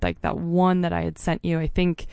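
A woman's voice: lowish voice, little pitch movement, moderate pace, creaky, a little mellow, smooth, no room sound. A young adult woman hosting a podcast, chatting candidly with filler words and a bit of swearing. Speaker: podcast host. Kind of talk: casual interview chat.

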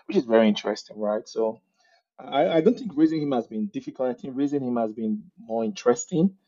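A man with a Nigerian accent talking as a podcast guest, telling animated stories and laughing mid-sentence. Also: medium pitch, fairly quick, highs cut off. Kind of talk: casual interview conversation.

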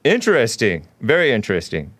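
A man's voice: mid-range voice, huge pitch swings, a little fast, a bit bright, no echo. A man hosting a casual call-in show, musing aloud in a laid-back way and chuckling often. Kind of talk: casual call-in radio chat.

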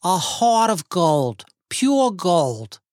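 Seeking an old immigrant wife: sharp tongue, Yiddish-American lilt, high animated delivery, storybook narration. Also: male voice, drawn-out words, no echo, clean studio sound, exaggerated voice. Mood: nagging. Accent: Yiddish-inflected American English. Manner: querulous, rhetorical questioning.

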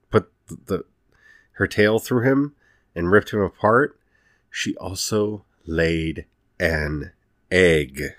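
A man giving casual solo podcast commentary as the host, speaking emphatically in short, halting phrases. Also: low voice, dry recording, clean studio sound. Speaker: podcast host reviewing films.

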